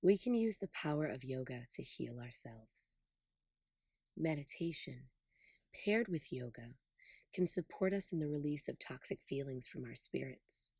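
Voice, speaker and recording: Low, husky woman guiding a meditation. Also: expressive, measured pace, warm tone, no room sound, clean studio sound. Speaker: podcast host and meditation guide. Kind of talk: guided meditation.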